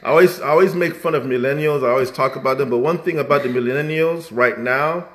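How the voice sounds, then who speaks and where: A man's voice: medium pitch, quick pace. Man, rambling. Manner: rambling, animated, many restarts and fillers. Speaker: musician guest. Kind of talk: casual conversational interview.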